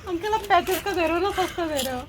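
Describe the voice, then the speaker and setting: A woman's voice: very high voice, lively intonation, fast, a crowd in the background. A young woman bantering playfully with friends, filmed on a phone.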